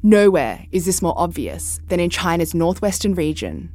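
Female correspondent host, 30s; scripted, reflective narration, low pitch with lively intonation. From Australia. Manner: measured, reflective.

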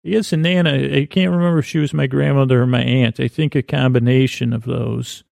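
A man hosting a podcast, telling a meandering, deadpan improvised comic monologue in a creaky voice, full of asides and restarts. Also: mid-range voice, a little fast, no room sound. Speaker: podcast host. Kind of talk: rambling improvised comedic monologue.

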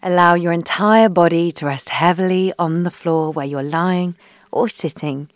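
A woman leading a guided meditation in a soothing, gentle, unhurried way, leaving long pauses between phrases and softening into breathy endings. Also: fairly low pitch, no room sound. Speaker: guided meditation leader, founder.

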